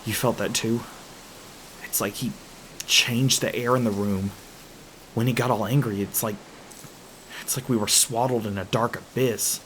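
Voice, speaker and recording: A man playing an edgy, protective young hunter in an audio roleplay, earnest, urgent and halting. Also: medium pitch, steady tone, a bit bright, slight background noise, natural voice, low-quality recording. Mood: shaken. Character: edgy, anxious young male hunter, protective and foul-mouthed.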